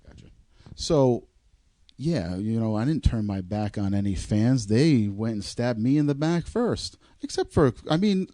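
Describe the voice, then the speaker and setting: A man's voice: medium pitch, animated, a little slow, dark tone, no echo. A man co-hosting a podcast, chatting loosely and joking, swearing casually as he talks. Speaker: podcast co-host.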